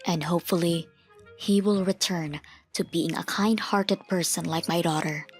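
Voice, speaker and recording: A principled mother in a manga dub, played by a woman, measured and matter-of-fact. Her voice is a little low and firm, with music running beneath it. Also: fairly even intonation, close to an everyday voice. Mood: resolved, reflective. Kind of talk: manga dub dialogue and first-person narration. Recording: music behind the voice.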